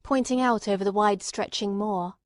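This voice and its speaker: A woman narrator reads a classic novel in a dry, close sound. Her delivery is measured and literary, with a medium-pitched voice that moves into acted dialogue. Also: no echo.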